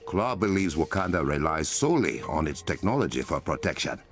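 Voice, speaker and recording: Very deep, stern warrior king. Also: very animated, soft background music, exaggerated voice. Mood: commanding. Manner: commanding, formal, measured. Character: stern, regal warrior king, adult man. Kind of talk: video game cutscene acting.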